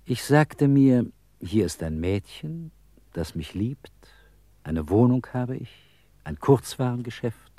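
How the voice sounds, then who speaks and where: Bitterer Landstreicher, langsam und wechselhaft betont. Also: male voice, medium pitch, expressive, warm tone, no echo. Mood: reflective, earnest. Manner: halting, confessional, dramatic, sudden emphasis. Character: homeless old male drifter and beggar, bitter, wry. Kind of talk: German radio play acting.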